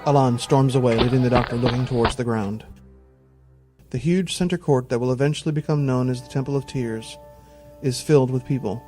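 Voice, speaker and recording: A man reads descriptive narration for an audio drama. His voice sits at a medium pitch, with music clearly playing behind him. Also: a little fast, a little mellow. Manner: descriptive storytelling.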